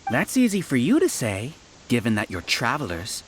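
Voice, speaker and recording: A condescending male scholar with a hive-mind scheme for a video game. His speech is smooth and lecturing, and his pitch moves a lot. He sounds coldly sure of himself. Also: wildly swooping pitch, moderate pace, fairly bright tone, exaggerated voice. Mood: scornful. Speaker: villain.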